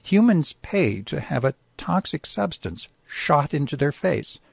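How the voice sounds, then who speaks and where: A man acts out a squeamish male grizzly bear from a comic short story. He explains himself with emphatic indignation, in a husky, gravelly voice that swings widely in pitch. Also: big pitch swings, measured pace, no room sound, exaggerated voice. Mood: indignant, exasperated.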